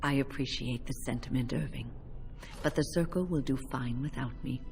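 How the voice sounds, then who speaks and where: A woman's voice: a bass voice, normal speed, creaky. An actress playing a kindly, steadfast older female mage in a fantasy game, speaking in a composed, earnest, formal way. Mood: earnest, resolute. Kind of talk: fantasy video game voice acting. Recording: music behind the voice.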